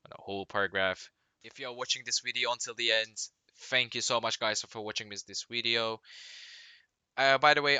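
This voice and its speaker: A young man whose first language is Turkish, speaking English with a Turkish accent as a vlogger giving reading tips, halting and restarting often as he searches for words. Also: fairly low pitch, pushed and bright, clear, resonant tone, no echo. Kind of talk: casual talk to camera.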